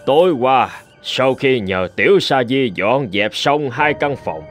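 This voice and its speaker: A man voicing a solemn old Buddhist abbot in a cartoon, speaking in a measured, instructive manner. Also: medium pitch, expressive, faint music behind. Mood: solemn, teaching. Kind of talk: animated folk tale dialogue.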